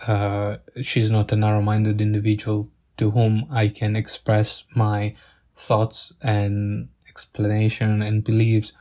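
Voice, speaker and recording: A young man speaking English as a second language, taking his time and often stopping to hunt for the right word. His low voice stays level and even, with little rise or fall. He sounds earnest and grateful throughout. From outside the UK. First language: not English. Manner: halting, searching for words, with restarts. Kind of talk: personal thank-you monologue.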